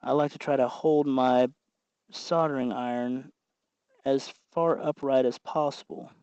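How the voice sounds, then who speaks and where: A man's voice: medium pitch, fairly even intonation, a little slow, darkish tone, clean tone, no echo, muffled recording. A man hosting a do-it-yourself tutorial, talking informally and instructively as he works with his hands. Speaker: host of a DIY electronics tutorial.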